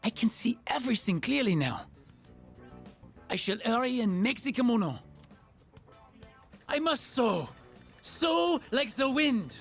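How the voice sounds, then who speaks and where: A man's voice: very high voice, very animated, unhurried, pauses often, faint music behind, full character voice. A man voicing an earnest foreign teenage boy in a video game, speaking formally and grandly, as if declaiming. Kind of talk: video game character dialogue.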